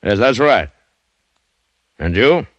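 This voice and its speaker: An actor playing a smug, fearless plantation owner in a vintage radio drama, brusque and commanding. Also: male voice, fairly low pitch, very animated, takes it slowly, no room sound, muffled recording, heavily acted. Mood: firm, assured. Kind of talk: 1940s-50s radio drama acting.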